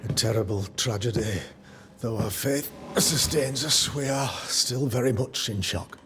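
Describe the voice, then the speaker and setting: An actor playing a pious, self-important colonial governor with a formal, condescending manner. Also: male voice, mid-range voice, measured pace, fairly bright tone, a little hiss or hum, natural voice. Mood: solemn. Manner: formal, condescending, smooth diplomacy.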